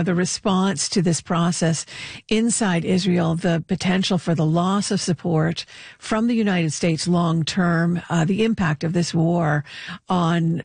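Talk show host, kinda gravelly, lots of uh and um, dry close mic. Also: mid-range voice, dry recording. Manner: hesitant, many fillers. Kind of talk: interviewing callers and guests.

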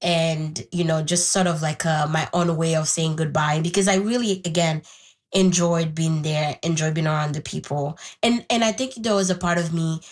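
A woman in her twenties from Haiti whose first language is Haitian Creole, speaking thoughtfully in an interview with frequent pauses and restarts. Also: low-pitched, steady tone. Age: 20s. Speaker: interview guest. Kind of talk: reflective personal conversation.

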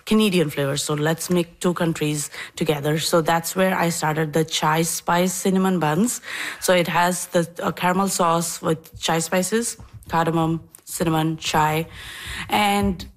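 Lively woman from Bangladesh telling her story. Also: fairly low pitch, unhurried. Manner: animated storytelling, acts out quoted people. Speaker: dessert business owner, guest. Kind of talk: casual interview. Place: her home kitchen.

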